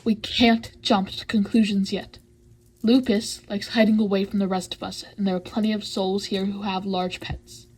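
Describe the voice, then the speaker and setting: Woman as a husky, level-headed leader. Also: medium pitch, little pitch movement, darkish tone, lightly acted, rough recording. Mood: calm, firm. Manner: measured, firm. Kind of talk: audio roleplay voice acting.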